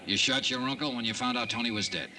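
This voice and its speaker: An actor playing a steady, world-weary, firm but compassionate investigator in 1950s radio detective style. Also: male voice, medium pitch, fast, distant mic, slight background noise. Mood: serious, steady. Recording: vintage radio recording with music.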